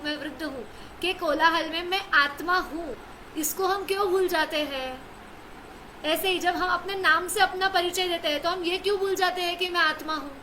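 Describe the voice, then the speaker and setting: Bright, high-voiced woman teaching scripture. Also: slight background noise, poor audio. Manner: asks and answers her own questions, repeats "है ना". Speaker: religious teacher. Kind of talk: scripture class explanation.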